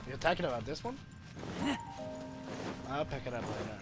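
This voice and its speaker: Guy doing a chill game playthrough, medium pitch, kinda hesitant, thinking out loud, music behind him. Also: animated, normal speed. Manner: hesitant, thinking aloud, repeats himself. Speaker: gaming video host. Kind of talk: casual game playthrough commentary.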